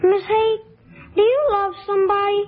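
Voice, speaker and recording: A woman's voice: very high-pitched, fairly even intonation, normal speed, narrow, tinny audio, faint music behind. A woman voicing a sick young boy, innocent and chatty, in a radio drama.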